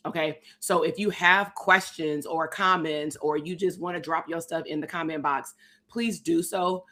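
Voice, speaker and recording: Hoarse low-voiced woman hosting a stream. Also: moderate pace. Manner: relaxed, talks straight to viewers as y'all. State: hoarse, losing her voice. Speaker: psychologist and host. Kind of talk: live stream chat.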